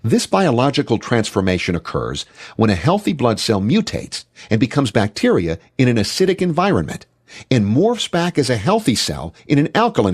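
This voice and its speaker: A man's voice: mid-range voice, slightly rough. A man delivering scripted documentary narration about science and health.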